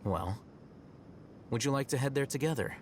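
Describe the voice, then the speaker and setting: An actor playing a calm, shrewd young male scholar in a video game, speaking in a measured, formal, dryly sardonic way. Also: mid-range voice, noisy background, lightly acted. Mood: composed, matter-of-fact. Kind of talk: scripted video game dialogue. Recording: game music behind the voice.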